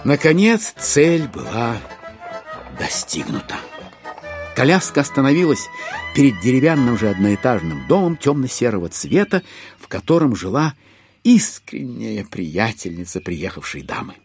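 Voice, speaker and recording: A man narrating a literary audio drama in an expressive, wry, theatrical manner. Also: mid-range voice, moderate pace, soft background music.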